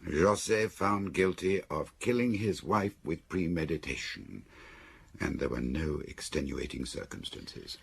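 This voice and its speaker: An actor playing a detective's dry, probing confidant in a radio drama. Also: male voice, very deep voice, measured pace, gravelly, slightly rough, full character voice. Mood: dry reading. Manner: questioning, dry.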